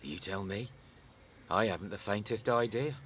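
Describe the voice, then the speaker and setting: A man's voice: lowish voice, moderate pace, fairly bright tone, a little hiss or hum, natural voice. An actor playing a middle-aged, teasing father who runs a garage, in a radio soap. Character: old-fashioned, teasing father running family garage. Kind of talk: radio soap opera acting.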